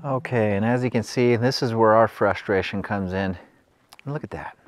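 A man's voice: on the low side, normal speed, no room sound, faint music behind. A man casually describing an old airport site as he walks around it outdoors. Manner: relaxed, pointing things out as he walks. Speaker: travel vlogger. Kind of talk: casual exploring commentary.

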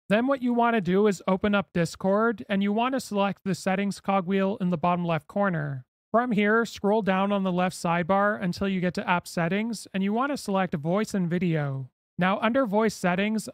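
A man with a notably high voice narrates a how-to guide in a step-by-step, instructional way. The sound is dry and close, like a screen-recording voice-over. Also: no echo. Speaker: tutorial host. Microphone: close mic, screen-recording voice-over.

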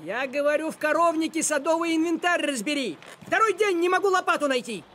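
Grumbling cartoon cat, very high voice, lively, sardonic, thrifty. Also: male voice, fairly quick, a little hiss or hum, heavily acted. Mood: nagging. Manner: dry, nagging, sardonic. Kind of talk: animated cartoon acting.

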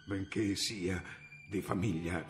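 An actor playing a timid, pious, learned medieval friar in a radio drama, speaking in a halting, deferential way. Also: male voice, low voice, fairly even intonation, faint music behind. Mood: hesitant, reflective.

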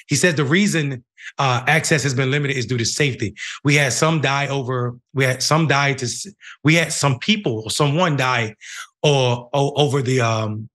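A middle-aged man with an American accent hosting a news show, reading and commenting in a halting way with repeated phrases. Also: medium pitch, a bit bright. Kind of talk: news commentary, reading a report and giving opinion. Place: home room, indoors.

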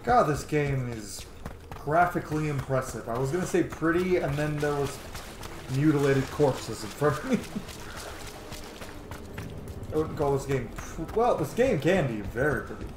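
A man's voice: fairly high pitch, lively intonation, a little slow, pauses often, noisy background. A man in his thirties giving casual, sweary gameplay commentary as he streams a video game. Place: indoor room. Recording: roomy echo, game music behind. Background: video game music.

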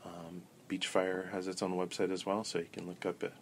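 A man answering interview questions in a measured way, pausing often with ums and uhs. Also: low voice, flat delivery, a little hiss or hum. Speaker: interview guest on a pagan tradition. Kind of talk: thoughtful casual interview answers.

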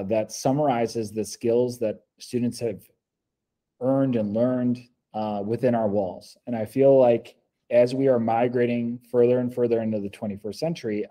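An American man in his forties giving earnest, measured testimonial answers as a school coordinator, on a video call from an office meeting room. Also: medium pitch, warm tone. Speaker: school district program coordinator. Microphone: laptop video call.

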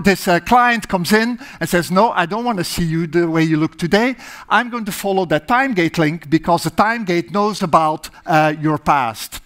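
A middle-aged man with a Dutch accent gives a keynote talk on a big dark stage, pacing as he explains step by step. His voice sits high for a man, and he leans on frequent "uh" fillers while speaking into a clip-on mic. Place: large dark stage with projection screen.

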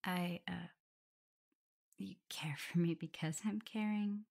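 A woman's voice: on the low side, steady tone, slow pace. A woman playing a shy, caring, self-doubting young werewolf in an intimate ASMR roleplay, speaking hesitantly. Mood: flustered. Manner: hesitant, stammering, soft, breathy whispers at times.

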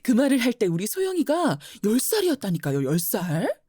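A woman playing a flower-shop mother in her forties, gentle and teasing, often laughing. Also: a little high, wildly swooping pitch, brisk, husky, no room sound, clean studio sound, heavily acted. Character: warm mother who runs a flower shop. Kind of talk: audio drama acting.

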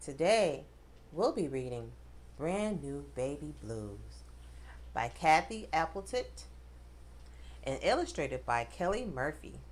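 A woman reading a rhyming picture book aloud to children, with expressive, dramatic emphasis. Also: sits low, slow pace, long pauses, slight background noise. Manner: addresses children directly, dramatic emphasis on rhymes.

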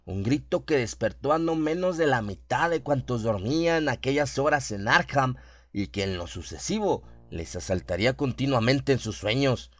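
A man narrates a horror radio play in a medium-pitched voice. The recording is dry, with very little room sound around him. His delivery is that of scripted, dramatic storytelling. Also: lively intonation, moderate pace, a bit bright, no room sound.

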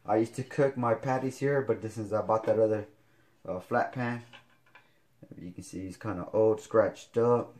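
A man, showing kitchen gear in a casual home video, talking haltingly with many fillers. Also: fairly low pitch, steady tone, darkish tone. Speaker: fitness vlogger. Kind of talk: casual product demo. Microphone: camera on counter.

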